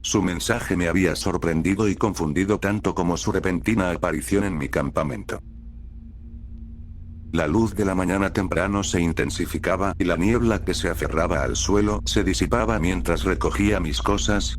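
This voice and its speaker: A man's voice: low-pitched, moderate pace, slight background noise. A man narrating a scripted first-person horror story in Spanish.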